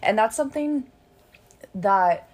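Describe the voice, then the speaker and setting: A woman's voice: medium pitch, animated, slow pace, a little mellow. A young American woman chatting casually as a co-host, informal and peppering her sentences with "like". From United States. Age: young adult. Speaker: podcast co-host. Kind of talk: casual chat.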